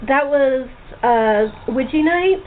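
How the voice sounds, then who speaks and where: A woman's voice: high-pitched, expressive, slow pace. A woman hosting a casual cast interview with a radio drama group over a remote call. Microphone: phone or voice call. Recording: tinny, noisy phone-line call.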